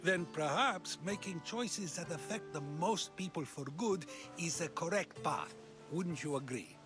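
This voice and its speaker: An actor playing a wry, talkative philosopher of ancient Greece who probes with measured questions in a video game. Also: male voice, a little high, lively intonation. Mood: probing, wry. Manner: inquisitive, measured, rhetorical questioning. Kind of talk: video game cutscene acting. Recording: music behind the voice.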